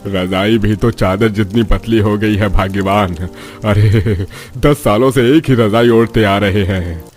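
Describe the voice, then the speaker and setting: A careworn, sensible cartoon family man, acted by a man, with a lowish voice. He talks cautiously and with measure, over background music. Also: unhurried, darkish tone, natural voice. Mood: wry.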